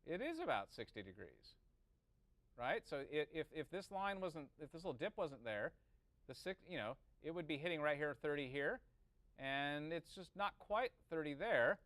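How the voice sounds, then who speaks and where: A man's voice: a little high, sing-song, normal speed, a bit bright, no echo. A man giving an informal technical lesson, thinking aloud and checking in with short questions. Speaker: teacher of audio engineering. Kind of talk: informal classroom lesson, working through a calculation aloud.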